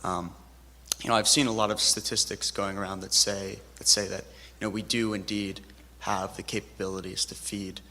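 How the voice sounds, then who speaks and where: A man asks a question from the audience in a hesitant way, stopping often for fillers. His voice is gravelly and flat, with a long hall echo and faint room noise behind it. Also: lowish voice, moderate pace. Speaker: audience member asking a question. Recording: reverberant hall with faint ambience.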